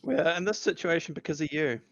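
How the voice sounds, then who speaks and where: A man reading the part of a rambling young man wary of sharing a flat with a woman, with a gravelly voice at a casual table read. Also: a little high, fairly quick, no echo, muffled recording. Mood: annoyed. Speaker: podcast host reading a part.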